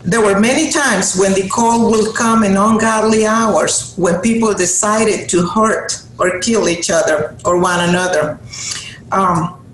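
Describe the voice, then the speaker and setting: A middle-aged woman from Honduras, a native Spanish speaker with a Honduran Spanish accent, giving an earnest motivational speech to an audience, with emphatic repeated phrases. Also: medium pitch. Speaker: guest speaker. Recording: roomy hall sound with faint noise.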